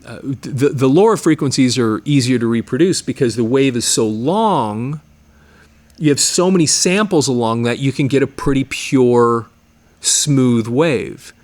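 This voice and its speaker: A middle-aged man talks casually to his viewers, with a voice that sits in an ordinary middle pitch. He rambles, restarting his sentences and repeating words as he explains. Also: unhurried, darkish tone. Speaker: channel host, media digitizing studio owner. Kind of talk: casual explanatory talk to camera.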